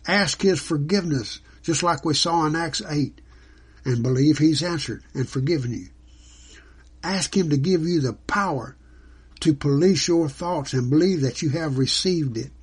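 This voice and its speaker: A man's voice: a little high, breathy edge. A man preaching in an earnest, devout way, teaching as the host of a religious program. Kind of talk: sermon teaching with scripture quotes and closing prayer.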